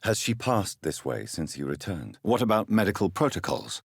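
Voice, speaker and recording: A man playing an earnest, courteous English gentleman doctor in a video game, speaking formally and asking measured questions. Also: deep voice, very animated, vocal fry, husky, no echo, clean studio sound, full character voice. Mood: grave, inquiring. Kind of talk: video game character dialogue.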